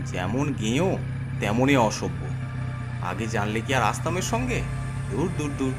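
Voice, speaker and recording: A weary older village doctor played by a male narrator grumbles through a horror audio drama. He is gruff and impatient, with a medium-pitched voice. Music runs clearly behind him. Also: very animated, a little slow. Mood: irritated. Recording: music under the voice.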